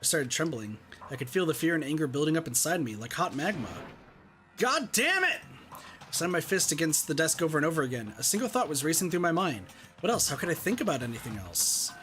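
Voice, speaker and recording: Man voicing an earnest, quick-talking schoolboy hero. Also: a little high, sing-song, fairly bright tone, soft background music. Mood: distressed. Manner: dramatic, intense. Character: earnest, anxious teenage schoolboy hero narrating his ordeal. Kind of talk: reading visual novel aloud in character.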